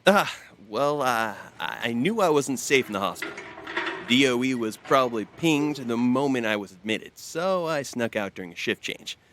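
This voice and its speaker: An actor playing an evasive, wry fugitive agent in an audio drama, with a hesitant, stammering delivery. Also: male voice, medium pitch, expressive, moderate pace, fairly bright tone, a little hiss or hum, natural voice. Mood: wry, casual. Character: evasive injured male fugitive agent, wryly friendly.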